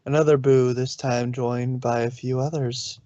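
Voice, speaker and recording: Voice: mid-range voice, level tone, a little slow, warm tone, no room sound. Dry, muffled storybook narrator. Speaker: narrator, fan fiction reader. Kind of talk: storybook narration read aloud.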